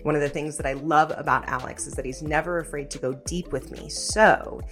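A woman hosting an interview podcast, chatty and animated, laughing easily as she talks. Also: sits low, brisk, soft background music. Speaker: podcast host. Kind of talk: casual interview chat.

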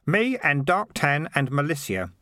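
A mild, earnest young man, eager and a little anxious, pitched well above a plain man's voice, as a male storyteller puts him on. He talks plainly and sincerely in a storybook reading. The recording is close and dry. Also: very animated, a little slow, sharp tone, dry recording, exaggerated voice. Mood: earnest, worried.

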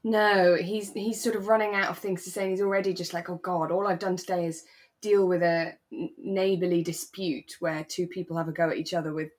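Woman, husky, slightly low pitch, relaxed podcast chat, conversational, thinking aloud. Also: a little fast. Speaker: podcast co-host. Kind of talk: relaxed chat discussing a radio drama.

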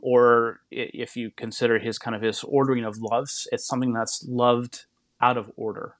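A man's voice: mid-range voice, level tone, moderate pace, no echo. A man speaking as a podcast guest, thinking aloud and explaining in a reflective, conversational way.

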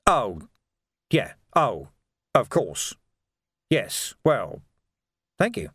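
A man voicing a gruff, righteous middle-aged policeman in an audiobook, speaking in emphatic, colloquial working-class phrases. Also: on the low side, sing-song, extremely slow, a bit bright, no room sound, exaggerated voice. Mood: flustered, curt. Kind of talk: dramatic audiobook character dialogue.

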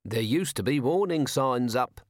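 A man's voice: mid-range voice, animated, measured pace, dry recording, clean studio sound. A man voicing a neat, precise, conversational villain with polite menace in an audiobook. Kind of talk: audiobook character voice.

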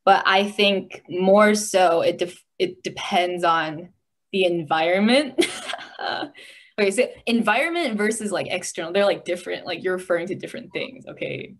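A young woman with an American accent, chatting casually and thinking aloud in a halting, giggly way. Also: lowish voice. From United States. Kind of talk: casual chat.

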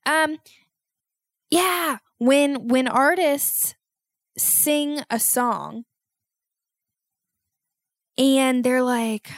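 A young woman hosting a solo podcast, talking in a rambling, self-mocking way with loud outbursts, and sounding congested. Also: high-pitched, extremely slow, pauses often, no echo, clean studio sound. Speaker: podcast host.

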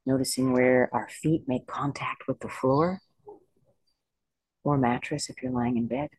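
Meditation teacher guiding slowly, dry close sound. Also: mid-range voice, big pitch swings, creaky, a little mellow, husky, dry recording, low-quality recording. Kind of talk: guided body scan meditation.

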